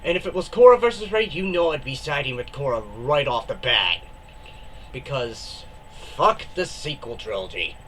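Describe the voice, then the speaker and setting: A man's voice: medium pitch, lively intonation, normal speed, slight background noise. A man with a head cold giving casual reaction commentary, exasperated, swearing and groaning. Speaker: reaction video host. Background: loud music.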